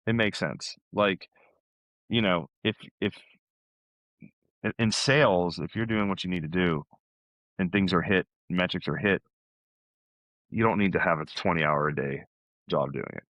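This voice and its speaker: A man's voice: sits low, a little slow, pauses often, a bit bright, no room sound, dull audio. A man speaking as a podcast guest in a casual, chatty, informal conversational style, often dropping in "man" and "you know".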